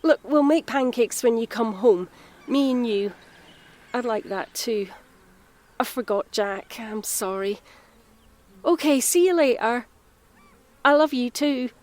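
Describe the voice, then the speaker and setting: A woman's voice: on the high side, expressive, takes it slowly, long pauses, a little mellow. Woman acting a harried, guilt-ridden Scottish mum. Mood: flustered, apologetic. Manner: confiding, self-reproachful. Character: harried, guilt-ridden mother of a nine-year-old boy. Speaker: actor in an audio drama. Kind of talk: naturalistic audio drama dialogue.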